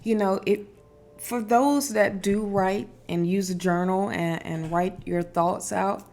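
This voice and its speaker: Slow-talking woman giving spiritual advice. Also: mid-range voice, steady tone, soft background music. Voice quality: strong, loud voice. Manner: unhurried, repetitive, emphatic, with fillers and restarts. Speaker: spiritual wellness host. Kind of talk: casual spiritual advice talk.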